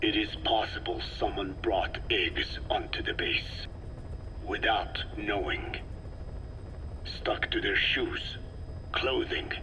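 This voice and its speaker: Slow, whispery old scientist. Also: male voice, on the low side, full character voice. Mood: probing, sober. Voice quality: breathy, whispery. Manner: slow, deliberate, formal explanations. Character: elderly male scientist, frail, grave and measured. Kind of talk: dramatic video game voice acting. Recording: noisy field tape with steady hum.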